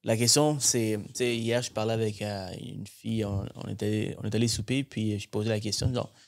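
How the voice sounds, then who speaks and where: Low-voiced man from Sri Lanka. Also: fairly even intonation, brisk. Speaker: podcast host. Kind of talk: casual podcast conversation.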